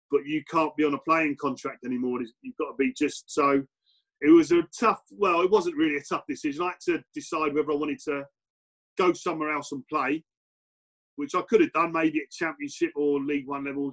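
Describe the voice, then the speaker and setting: A middle-aged English man, a former footballer and coach, answering interview questions in a relaxed, reflective way with many fillers and restarts. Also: a little high. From England.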